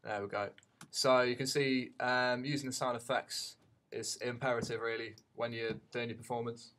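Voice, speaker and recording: A man giving an unscripted tutorial as a teacher, haltingly thinking aloud as he goes. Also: mid-range voice, flat delivery, a bit bright.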